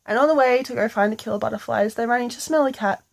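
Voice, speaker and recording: Casting: female storyteller, higher-pitched, playful and informal, making up a bedtime tale as she goes. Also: quick pace, gravelly. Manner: playful, slangy. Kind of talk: improvised casual bedtime storytelling.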